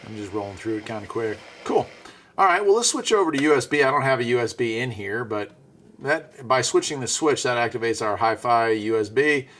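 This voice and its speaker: A man's voice: mid-range voice, expressive, a little fast, a bit bright. A man giving a casual, laid-back hands-on product demo, muttering informal asides as he tinkers. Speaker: radio reviewer and vlog host. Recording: faint background noise.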